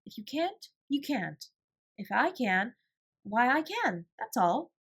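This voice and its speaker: A woman voicing a bright, earnest, imaginative schoolgirl in a storybook, with emphatic, old-fashioned phrasing. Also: fairly high pitch, sing-song, takes it slowly, breathy edge, voice pushed up, full character voice. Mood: gentle, insistent.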